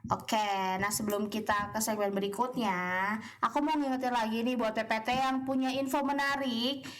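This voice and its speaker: A woman's voice: a little high, fairly bright tone. Chatty young woman radio host. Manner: chatty, agreeable, quick back-and-forth. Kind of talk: casual radio chat. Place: radio studio.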